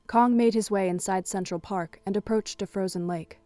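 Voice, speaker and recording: A woman's voice: mid-range voice, steady tone, moderate pace, mellow, no echo. A woman reading scripted third-person narration for an audio drama as its storyteller. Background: music.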